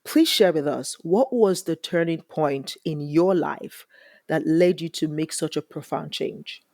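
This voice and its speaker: A woman hosting a podcast in an upbeat, bubbly way with excited exclamations. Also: sits low, measured pace, dry recording, studio quality. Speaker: podcast host. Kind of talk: podcast interview. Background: music.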